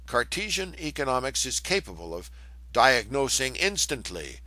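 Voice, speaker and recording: A man reading an old scholarly lecture aloud in a measured, formal manner, with the occasional stumble over a word. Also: mid-range voice, sing-song, sharp tone, dry recording. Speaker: reader of a historical lecture text.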